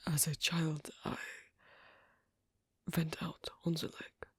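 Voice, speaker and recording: A performer playing a shy, bookish, isolated swan king in intimate ASMR roleplay, speaking halting English with a foreign accent in a creaky half-whisper. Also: mid-range voice, little pitch movement, fairly bright tone, no room sound, full character voice. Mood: shy wonder. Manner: halting, hesitant, clipped non-native phrasing.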